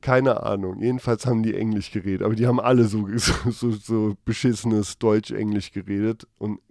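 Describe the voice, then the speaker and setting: A man's voice: on the low side, steady tone, fairly quick, vocal fry, darkish tone, dry recording. Dry-recorded man rambling casually. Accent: German. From Germany. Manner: rambling, slangy, sweary. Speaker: podcast host. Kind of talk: casual comedic monologue.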